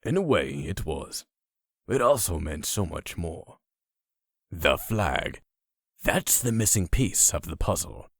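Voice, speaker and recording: A man's voice: deep voice, sing-song, slow, vocal fry, dry recording, clean studio sound, exaggerated voice. A man performing as a grave, dramatic comic-book narrator, reading scripted narration. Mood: grave, suspenseful.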